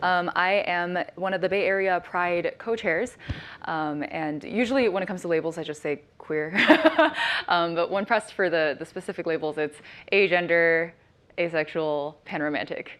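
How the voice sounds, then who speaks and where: A woman's voice: lowish voice, normal speed, fairly bright tone. Laughing, easygoing woman on a panel. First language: Shanghainese. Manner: laughs often, lighthearted and self-deprecating. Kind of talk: casual panel talk.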